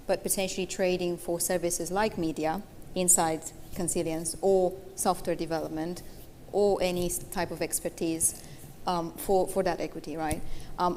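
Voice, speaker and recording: A woman moderating a conference panel in a brisk, steady way. Her voice is lowish and very flat in tone, with a long hall reverb behind it. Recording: hall reverb with faint noise.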